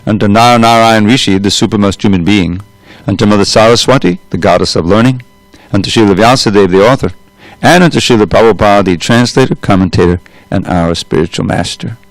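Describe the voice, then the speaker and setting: Man reciting prayers slowly in a temple. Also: on the low side, no echo, faint music behind, poor audio. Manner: slow, measured recitation of prayers; loud voice. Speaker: class speaker reading scripture. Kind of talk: devotional scripture class with chanting. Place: temple room. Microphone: room microphone with adjustable volume.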